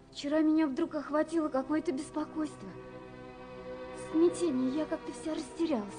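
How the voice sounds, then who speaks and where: A high, girlish young woman confides her letters in a wistful, anxious, romantic way. It is a vintage radio drama from the seventies, with music behind her tremulous voice. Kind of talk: 1970s radio drama, reading letters aloud. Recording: 1979 radio recording with music.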